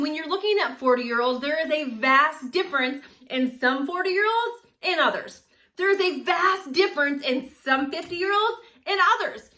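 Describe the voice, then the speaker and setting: A woman of forty talking casually to camera in a chatty, animated way, laughing often. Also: high voice. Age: 40s. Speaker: vlogger.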